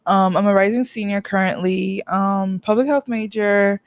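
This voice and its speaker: A woman's voice: mid-range voice, steady tone, normal speed, clear, resonant tone. Blunt young woman, British accent. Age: 20s. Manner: blunt and teasing. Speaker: hairstylist guest. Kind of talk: podcast interview.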